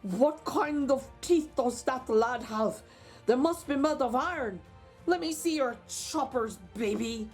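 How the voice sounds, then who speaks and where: A woman's voice: high voice, expressive, takes it slowly, soft background music. A woman voicing a boastful, gluttonous bully of a male giant in a children's storybook read-aloud. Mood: impressed, uneasy. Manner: blustering, boastful.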